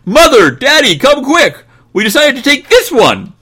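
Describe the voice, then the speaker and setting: A man plays an excitable little storybook boy. He exclaims eagerly in a raised, swooping voice with a husky grain. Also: sits high, unhurried, pitched above the performer's own voice, heavily acted. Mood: delighted.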